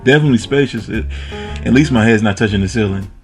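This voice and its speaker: An actor playing a courteous, earnest, slightly hesitant newly transferred police officer in a 1920s comic drama. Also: male voice, on the low side, quick pace, faint music behind, natural voice. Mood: polite, eager. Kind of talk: comic dub character acting.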